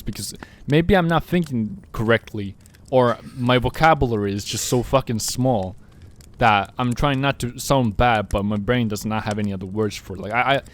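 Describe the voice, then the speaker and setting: A man's voice: medium pitch, normal speed. A young man from Finland whose first languages are Russian and Finnish, rambling and swearing freely in casual podcast chat. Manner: rambling, repeats words, swears often. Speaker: podcast co-host.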